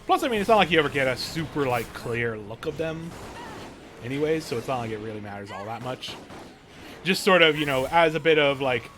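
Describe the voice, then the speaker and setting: A man's voice: medium pitch, expressive, fairly quick, noisy background. A man giving casual live stream game commentary, rambling and often rephrasing mid-thought, with game music behind him.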